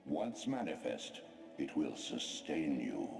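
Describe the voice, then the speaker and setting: A man's voice: rumbling low, very animated, slow, vocal fry, soft background music, heavily acted. A man voicing an ancient, solemn otherworldly guide in a video game, speaking in grave, formal, portentous pronouncements. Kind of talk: scripted video game narration. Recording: echoey, with loud background ambience.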